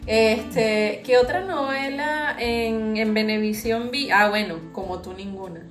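A Venezuelan woman with a slightly high voice chats casually as a podcast co-host. She sounds roomy and echoey, with guitar music clearly audible behind her. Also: a little slow. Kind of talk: casual chat.

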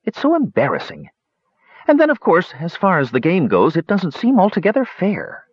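A man voicing a small, glib kender leader who speaks airily and philosophically, in a raised husky voice. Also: high voice, huge pitch swings, normal speed, no echo, muffled recording, voice pushed up, full character voice. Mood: musing. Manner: airy, philosophical, lightly mocking.

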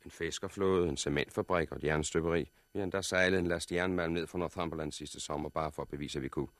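An actor in a 1960s radio drama playing a confident, courteous helicopter pilot who explains things matter-of-factly as a messenger from a survivors' colony. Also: male voice, sits low, fairly even intonation, no echo, studio quality, lightly acted. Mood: courteous, businesslike.

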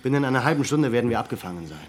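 An actor playing a resolute military leader in a radio play, talking in a firm, deliberate and commanding way. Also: male voice, mid-range voice, animated, lightly acted. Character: resolute male military leader planning a raid. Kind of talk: German radio play acting.

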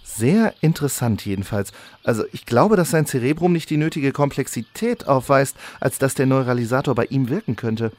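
Male agent for parody radio play, man acting: average pitch, pedantic, dry explainer, slightly halting. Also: a little mellow, lightly acted. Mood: explaining. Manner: matter-of-fact, pedantic, hesitating. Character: smooth-talking, pedantic male memory-wiping agent. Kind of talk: parody audio drama acting.

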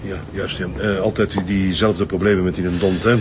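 A man's voice: sits low, flat delivery, moderate pace, close to an everyday voice. An actor playing an irritable, self-important male police chief who hectors and repeats himself, with a Flemish accent, in a radio drama. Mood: flustered, bossy. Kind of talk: Dutch-language radio drama acting. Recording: dull lo-fi audio with faint noise.

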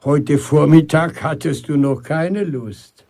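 A man playing a gruff but affectionate old grandfather in a German radio drama, commanding and teasing. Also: a little high, takes it slowly, darkish tone, full character voice. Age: older. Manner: commanding, teasing, tender with his horse.

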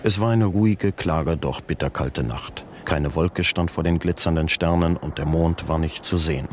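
An actor playing a grave, precise narrator in a radio play, with a measured literary delivery. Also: male voice, low voice, lightly acted. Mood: grave, descriptive. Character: formal male narrator, precise and gravely descriptive. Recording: 1970s radio recording, faint noise.

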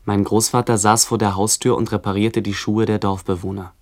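Sober low-voiced male narrator. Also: little pitch movement, a little fast. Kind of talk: scripted literary radio narration.

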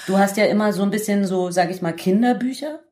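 A woman's voice: medium pitch, fast, a little mellow. A woman hosting a radio show in a conversational interview style.

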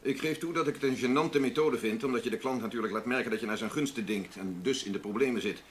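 An actor playing an older, old-guard newspaper boss in a radio drama, authoritative, lecturing and stiffly formal. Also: male voice, mid-range voice, fast talker, a little hiss or hum, natural voice. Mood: formal, conceding. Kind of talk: Dutch radio drama acting.

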